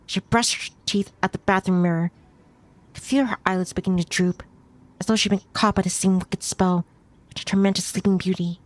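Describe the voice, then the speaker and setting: A woman's voice: mid-range voice, fairly even intonation, a little fast. A woman streamer reading a visual novel aloud as story narration, now and then stumbling over a word and rereading it. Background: music behind the voice.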